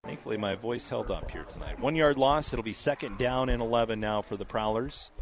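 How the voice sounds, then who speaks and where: A man with a scratchy voice calling a high school football game play by play, steady and measured, with casual fillers. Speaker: play-by-play announcer.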